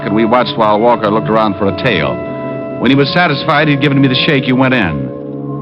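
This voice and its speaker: An American actor playing a cocky, wisecracking private detective in a 1950s radio drama, wry and hard-boiled. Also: male voice, on the low side, natural voice. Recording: muffled old radio recording, loud music behind.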